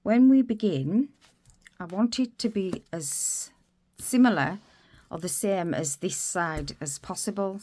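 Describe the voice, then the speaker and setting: A woman's voice: deep voice, a little mellow. A woman giving a casual crochet tutorial, stuffy from a cold and chatty, wandering off mid-sentence. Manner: chatty, rambling, self-interrupting.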